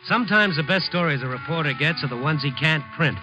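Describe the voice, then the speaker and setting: An actor playing a wry, world-weary newspaper reporter in a 1950s radio drama, narrating and talking in a dry, wisecracking way. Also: male voice, fairly high pitch, expressive, quick pace, over music, close to an everyday voice. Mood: wry, reflective. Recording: muffled old 1950s radio broadcast.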